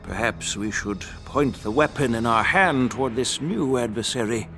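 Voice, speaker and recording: A man playing a venerable elder strategist in a video game, speaking in a formal, deliberate, weighty way. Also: medium pitch, fairly bright tone, soft background music, lightly acted. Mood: grave, contemplative. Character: venerable elder statesman, measured, sagely strategist. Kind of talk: scripted video game dialogue.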